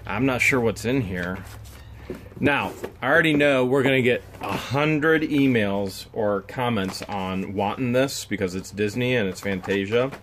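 A man's voice: mid-range voice, measured pace, a bit bright, a little hiss or hum. A man hosting an unboxing vlog, chatting casually and exclaiming over each find, recorded on a handheld camera in a garage. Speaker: vlog host unboxing storage finds.

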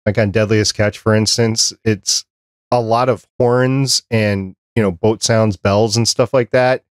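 A middle-aged man with a fairly low voice talks as a podcast host. He explains practical points plainly and conversationally, close on a desk microphone with a dry sound. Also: steady tone, measured pace, clear, resonant tone, dry recording. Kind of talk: conversational interview and advice. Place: small cluttered home office, video call. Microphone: desk microphone close up, headset.

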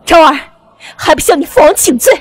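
Lady playing a frantic empress mom, pleading, urgent, really high voice, audio drama. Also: lively intonation, a little fast, in a large hall. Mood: anguished, urgent. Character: anguished heavenly empress, protective anxious mother.

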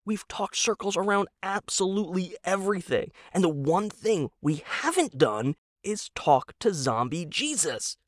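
A man's voice: sits high, very animated, a little slow, hardly any pauses, no echo, studio quality, voice pushed up. A man voicing a naive, eager husband in an audio drama, rambling earnestly with wide-eyed enthusiasm. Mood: hesitant, earnest. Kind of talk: audio drama character dialogue.

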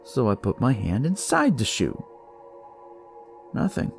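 A man's voice: mid-range voice, wildly swooping pitch, a little slow, gravelly, full character voice. A man voicing a detective who tells his story in the first person, conversational and theatrical, as in a dramatic audio story. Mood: uneasy, wry. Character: male detective recounting a sinister, eerie discovery. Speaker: storyteller narrating in character. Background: music.

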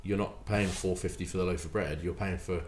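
A man in his forties, a baker and bakery owner, talking in a casual podcast interview, animated and emphatic, often repeating words as he restarts. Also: deep voice, brisk. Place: bakery room with hard walls. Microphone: desk mic on stand. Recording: roomy echo, faint room noise.

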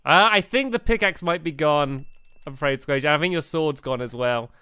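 A woman's voice: sits low, normal speed, sharp tone, clean tone, no echo. A woman chatting and laughing while commentating a video game with a co-host, often repeating words as she restarts. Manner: chatty, giggly, stammering restarts. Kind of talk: casual gameplay commentary.

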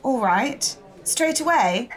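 An actress playing a keen, polite and persistent young newspaper reporter in an audio drama. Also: female voice, fairly high pitch, expressive, takes it slowly, very noisy. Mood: curious.

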